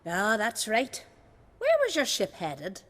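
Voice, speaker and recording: A woman's voice: medium pitch, huge pitch swings, slow, a bit bright, in a large hall, full character voice. A woman playing a fretful, kind middle-aged village mother in a video game, speaking in a motherly, folksy way. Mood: gently chiding, curious.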